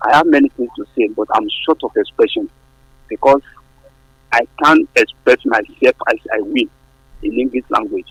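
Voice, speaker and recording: A Nigerian man with a Yoruba accent, whose first language is Yoruba, calling a radio show to share his opinion earnestly, his voice jumping up in pitch when he stresses a point. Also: normal speed, a bit bright, husky, no room sound, poor audio. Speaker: radio caller. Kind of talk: phone-in opinion. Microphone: phone line.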